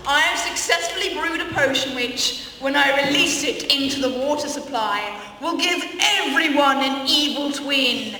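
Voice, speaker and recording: A woman's voice: high-pitched, moderate pace, hardly any pauses, close to an everyday voice. A woman playing a scheming, theatrical evil twin villainess in an amateur radio play, declaiming grandly. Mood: gloating. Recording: echoey hall, distant mic, faint noise.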